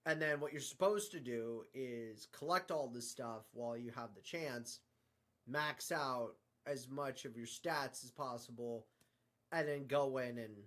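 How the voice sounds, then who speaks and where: A man tells a story at a slow pace in an ordinary mid-range voice. His delivery is casual and improvised, halting as he feels around for the next word. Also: mid-range voice, fairly bright tone. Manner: halting, searching for words.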